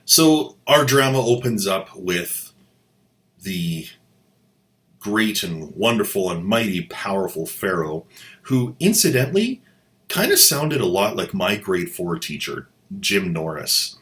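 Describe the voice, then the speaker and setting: A man's voice: deep voice, big pitch swings, takes it slowly, fairly bright tone. A man hosting a commentary show, sardonic and mocking with playful asides. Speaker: host. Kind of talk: sarcastic commentary.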